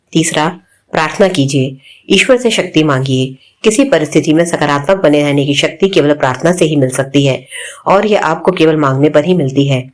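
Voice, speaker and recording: A woman reading a spiritual self-help book aloud in a plain, instructive manner. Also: sits low. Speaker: audiobook reader.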